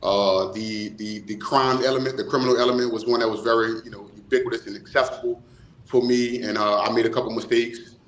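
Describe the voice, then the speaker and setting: A man giving reflective interview answers, choosing formal words in measured, hesitant phrases. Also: medium pitch, steady tone. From New Orleans, USA. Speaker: nonprofit co-founder, interview guest. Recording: roomy, echoing voice.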